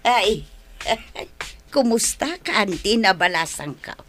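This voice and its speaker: A woman's voice: medium pitch, animated, slow, a bit bright. A woman with an Ilocano accent acting a wary, protective middle-aged mother in a radio drama. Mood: grudging, cautioning. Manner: questioning, grudging.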